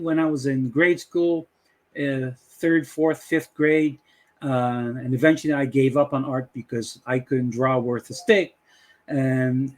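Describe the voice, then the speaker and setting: Man, Dutch accent, middle-aged, fairly high pitch, rambling casual host. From Dutch West Indies. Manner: rambling, many uh fillers. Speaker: channel host. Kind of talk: casual screen-share tutorial intro.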